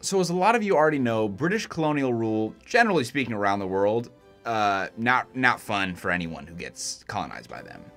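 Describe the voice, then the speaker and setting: A man's voice: on the low side, very animated, brisk, over music. A young man of Egyptian heritage casually explaining history in a chatty video monologue, with self-deprecating asides.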